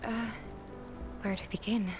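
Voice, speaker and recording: Woman acting an earnest, slow-spoken young heroine. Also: a little high, little pitch movement, music in the background, close to an everyday voice. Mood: awed, hesitant. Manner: halting, earnest. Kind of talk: video game cutscene acting.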